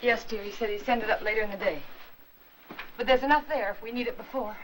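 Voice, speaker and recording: An actress playing a devoted, anxious housewife in a 1950s Hollywood melodrama, speaking in a tender, earnest, placating way. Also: female voice, fairly high pitch, fast talker, a bit bright, lightly acted. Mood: dutiful, gentle. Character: devoted, anxious, gentle housewife soothing sick husband. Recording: noisy vintage 1950s film soundtrack.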